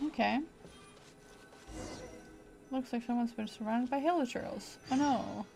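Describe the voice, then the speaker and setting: Slow-paced, creaky-voiced woman streaming. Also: a little high, over music. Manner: thinking aloud in short, playful fragments. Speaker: game streamer. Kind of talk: casual live gameplay commentary.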